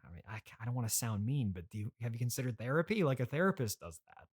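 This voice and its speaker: Man, medium pitch, awkward, hesitant, earnest reasoning, podcast host, improvised comedy conversation. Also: sing-song, quick pace, husky. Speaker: podcast host playing himself.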